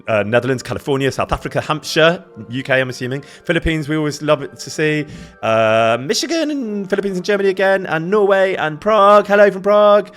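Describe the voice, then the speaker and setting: A man casually chatting with his live-stream viewers, greeting them by name and laughing often, with a loud voice that carries. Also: a little high, animated, fairly quick, soft background music. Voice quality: loud, carrying voice. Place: indoor room near an event space.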